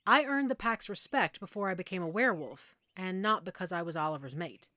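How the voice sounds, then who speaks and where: A woman playing a middle-aged, self-assured werewolf pack alpha in an intimate audio roleplay, explaining things conversationally with measured pauses. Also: lowish voice, bright, forceful tone, no room sound, clean studio sound. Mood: candid, proud.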